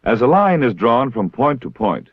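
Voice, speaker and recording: An American man narrating a scripted training film in a formal, measured, precisely enunciated way. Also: mid-range voice, big pitch swings, husky, no echo. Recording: dull 1960s film soundtrack.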